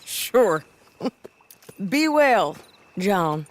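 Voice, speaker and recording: An actor playing a wry, cocky frontier bounty hunter in a video game, speaking casually with a gritty American voice and folksy turns of phrase. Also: male voice, sits high, very animated, drawn-out words, full character voice. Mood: teasing. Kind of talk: video game cutscene acting.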